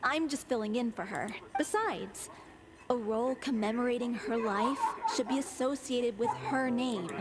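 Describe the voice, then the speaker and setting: A woman's voice: a little high, moderate pace, close to an everyday voice. An actress playing an earnest, gracious young female stage performer in a video game, speaking in a composed and polite way. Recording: music behind the voice.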